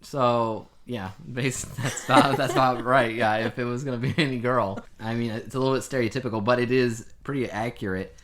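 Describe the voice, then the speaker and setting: A man's voice: mid-range voice, fairly even intonation, fairly quick, hardly any pauses, fairly bright tone. A middle-aged man co-hosting a podcast in casual conversation. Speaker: podcast co-host. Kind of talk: casual chat.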